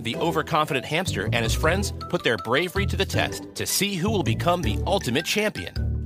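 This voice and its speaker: A man reading a scripted promotional spot for children's books as an announcer. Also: fairly high pitch. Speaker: advert announcer. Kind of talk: promotional read for a children's book. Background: music.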